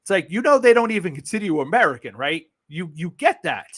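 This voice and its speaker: A man's voice: sits high, big pitch swings, fast talker, fairly bright tone. A man with a New York accent, hosting a casual livestream, talking bluntly with sarcasm and frequent swearing. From Brooklyn, New York. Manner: blunt, sarcastic, swears freely and laughs often. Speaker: political livestream host. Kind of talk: casual live-stream chat.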